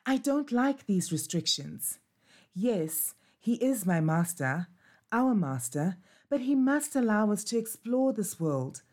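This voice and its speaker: A woman's voice: mid-range voice, takes it slowly, voice pushed up. A woman voicing a restless, curious young male puppy in a storybook, with an eager, pleading manner. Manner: pleading, excited.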